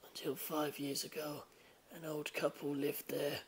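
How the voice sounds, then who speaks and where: Man acting an aloof high-school boy, hushed, soft, deadpan, morbidly calm, audiobook character. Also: measured pace, breathy edge. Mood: detached, morbid. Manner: detached, deadpan.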